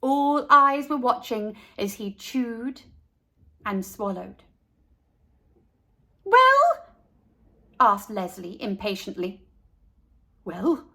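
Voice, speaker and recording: A woman storyteller reading a children's storybook aloud with deliberate, dramatic phrasing. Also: mid-range voice, bright, forceful tone.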